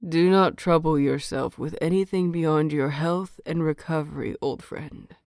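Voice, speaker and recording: Woman reading as low, composed older male teacher. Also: steady tone, a little mellow, dry recording, clean studio sound. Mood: calm concern. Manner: measured, formal. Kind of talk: audiobook character dialogue.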